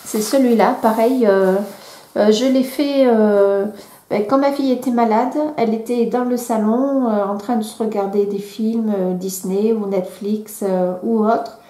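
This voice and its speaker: A woman in her thirties with a cold chats casually about her hobby, full of hesitations and restarts. Her voice sits a little high and mellow, heard in an echoey room. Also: steady tone, unhurried. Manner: hesitant, many fillers and restarts. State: has a cold. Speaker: vlogger. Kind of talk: casual chat.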